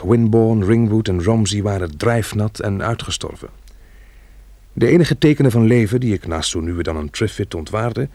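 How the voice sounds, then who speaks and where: A man acting in a Dutch radio drama as a kind, protective survivor, with a gentle and reassuring manner. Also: fairly low pitch, no echo. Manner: gentle, reassuring toward a child. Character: kind, protective male survivor, lonely narrator of a catastrophe.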